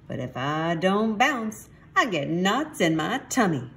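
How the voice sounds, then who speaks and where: A woman voicing an excitable little boy kitten who plays at being a Chihuahua, with playful mock-Spanish flourishes, in a storybook reading. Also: on the low side, slow, voice pushed up, exaggerated voice. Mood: bouncy, boastful.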